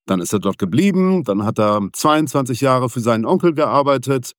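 A man who grew up in Venezuela speaks as a business guest in an interview. He explains things in a steady, measured way at a medium pitch. The recording is dry and close, with no room sound. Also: no echo. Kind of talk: interview answers.

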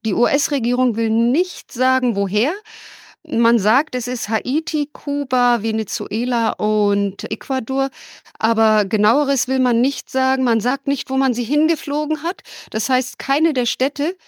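A woman's voice: fairly high pitch, a little slow, no room sound, studio quality. A woman correspondent answering interview questions in an emphatic, opinionated and wry way. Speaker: correspondent in the USA. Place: USA.

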